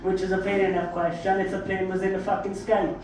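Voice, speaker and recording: A man's voice: high voice, flat delivery, quick pace, very noisy. A man with a South Welsh accent doing stand-up, telling brash, sweary, self-mocking stories with punchy raised punchlines. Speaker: stand-up comedian. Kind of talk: stand-up comedy storytelling. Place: comedy club stage. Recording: echoey club recorded from a distance.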